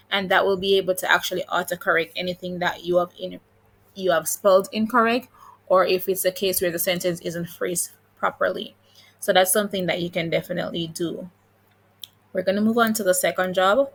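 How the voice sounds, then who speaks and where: A woman's voice: fairly low pitch, fairly bright tone. A woman with a Jamaican accent hosting an informal explainer, talking listeners through details in an instructive, encouraging way. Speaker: video host giving job-hunting advice. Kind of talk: informal explainer, reading listings and commenting.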